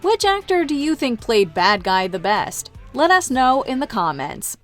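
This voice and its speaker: Lively female countdown host over music. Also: fairly high pitch, a little slow. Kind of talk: scripted upbeat list-video narration.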